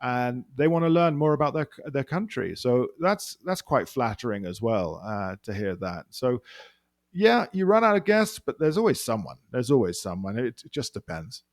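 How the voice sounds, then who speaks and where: A man's voice: medium pitch, sing-song, moderate pace, no echo, clean studio sound. A man with a London accent chatting as a podcast guest, rambling with frequent restarts and fillers. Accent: London English. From England. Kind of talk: casual interview chat.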